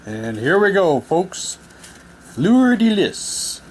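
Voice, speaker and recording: A man's voice: high-pitched, big pitch swings, extremely slow. A man doing a casual show-and-tell, switching between chatty remarks and reading printed material aloud. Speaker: presenter showing audio drama props. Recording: noticeable background noise.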